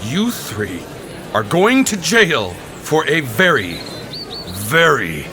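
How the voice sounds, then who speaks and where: Man acting appalled, high-pitched city inspector. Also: very animated, takes it slowly, a crowd in the background. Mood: stern. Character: appalled male city inspector, indignant and horrified. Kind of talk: comedic audio drama acting.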